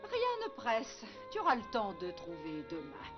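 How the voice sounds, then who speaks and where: A woman voicing a gentle mother wolf in a French cartoon dub, patient and encouraging. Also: mid-range voice, huge pitch swings, a little slow, dull audio, over music. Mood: tender. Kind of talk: French animated series dub.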